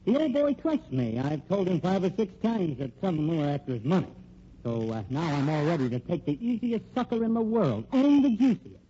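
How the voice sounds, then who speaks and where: A man's voice: on the high side, big pitch swings, fairly quick, mellow. An actor playing a slick, menacing American private detective and con man in an old radio crime drama, wisecracking and pushy in tough-guy slang. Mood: smooth, insinuating. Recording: dull old radio recording, faint noise.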